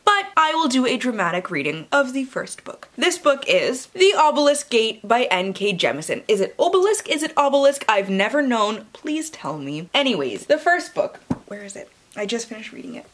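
High-voiced young woman, playful book vlogger. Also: very animated, normal speed. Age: young adult. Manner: playful, self-interrupting, freely swearing. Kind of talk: casual vlog chat with dramatic blurb readings. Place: indoor room with bookshelves.

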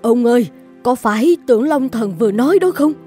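An actress as a middle-aged official's wife in a folk-tale cartoon. She is bossy and fussy, with a somewhat high, lightly bright voice and an insistent way of talking. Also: lively intonation, a little fast, faint music behind, close to an everyday voice.

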